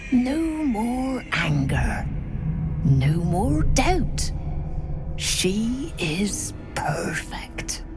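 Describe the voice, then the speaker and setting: Casting: sinister female cultist, slow and creaky, detached, game drama with music behind. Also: mid-range voice, heavily acted. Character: cold, serene female cult torturer, quietly sinister. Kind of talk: video game voice acting. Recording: background music.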